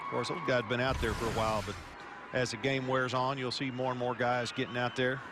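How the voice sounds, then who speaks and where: Male football analyst over loud stadium noise. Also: mid-range voice, little pitch movement, a little fast. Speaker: football color commentator. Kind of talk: live sports analysis commentary. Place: football stadium broadcast position. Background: loud stadium ambience.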